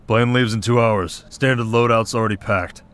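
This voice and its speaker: A man's voice: medium pitch, fairly even intonation, normal speed, a bit bright, clean tone, lightly acted. A man voicing a stern commander of monster hunters, clipped and authoritative, briefing a recruit in a scripted audio roleplay. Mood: stern, businesslike. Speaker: voice actor.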